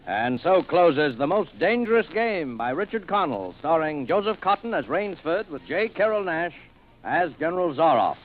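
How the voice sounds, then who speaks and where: An American man announces in formal 1940s radio style, his voice a little high and his phrasing measured. The broadcast sounds tinny, with faint music behind.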